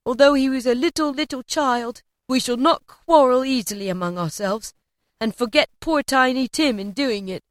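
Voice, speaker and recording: A woman voicing a gentle, loving poor father, earnest and tender. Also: sits high, moderate pace, no echo, studio quality, voice pushed up, close to an everyday voice.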